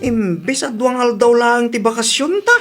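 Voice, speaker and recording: A man's voice: extremely high pitch, expressive, normal speed, over loud music. A man with an Ilocano accent playing a grumbling husband in a comedy audio drama. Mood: exasperated, complaining.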